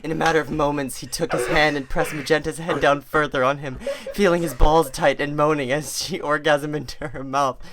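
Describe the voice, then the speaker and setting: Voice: medium pitch, fairly even intonation, brisk, hardly any pauses, crowd noise. A person giving a mock-serious dramatic reading of fan fiction, theatrical and stumbling over words now and then.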